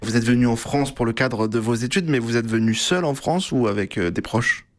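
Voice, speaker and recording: A man's voice: mid-range voice, fairly quick. Man, host, formal questions, medium voice. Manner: polite, formal questions with fillers. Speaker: interviewer, host. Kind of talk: interview questions.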